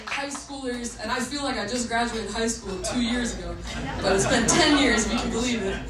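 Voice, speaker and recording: A woman in her twenties from Massachusetts, a saxophonist bandleader chatting excitedly with a club crowd between songs, laughing often. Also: a little high, a little fast. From Massachusetts, USA. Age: 20s. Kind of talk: live stage banter between songs. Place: live music club on stage. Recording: echoey club, distant mic, loud crowd and noise.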